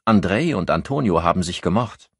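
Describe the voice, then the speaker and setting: A man voicing a guarded circus artiste woman under questioning, calm, evasive and mocking, in an audiobook crime story. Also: low-pitched, fairly quick, slightly rough, dry recording, clean studio sound, heavily acted.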